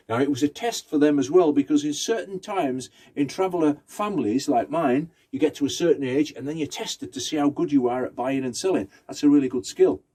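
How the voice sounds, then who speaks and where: A man's voice: mid-range voice, mellow, breathy edge. A man telling a folktale conversationally, in short phrases with rhythmic repetition. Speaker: Romani storyteller. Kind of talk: oral folktale storytelling.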